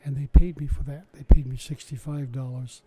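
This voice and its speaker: A man's voice: mid-range voice, level tone, fairly quick, background noise. An older man telling stories in a casual interview about running science shows, chatty and wry with frequent restarts. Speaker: interviewee recalling his science show work. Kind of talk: casual oral-history storytelling.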